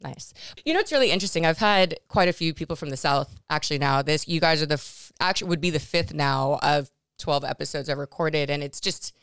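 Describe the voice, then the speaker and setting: A woman's voice: low voice, animated, fairly quick, fairly bright tone, clear, resonant tone, no room sound, clean studio sound. A woman from California hosting a podcast interview, chatty and upbeat with frequent restarts. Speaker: podcast host.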